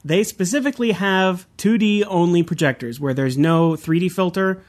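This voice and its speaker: A man hosts a podcast in an easygoing, enthusiastic chat. His voice sits fairly high for a man, and he laughs often as he talks. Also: normal speed. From Southern California. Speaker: podcast host.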